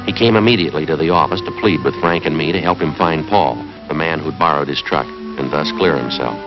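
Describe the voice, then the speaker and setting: A man's voice: rumbling low, fairly quick. An American actor in his thirties playing a terse, methodical, fair-minded police sergeant in a 1950s TV drama, speaking in a clipped, matter-of-fact way. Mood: deadpan. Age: 30s. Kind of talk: 1950s TV police drama acting and scripted narration. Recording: muffled 1950s TV soundtrack, music underneath.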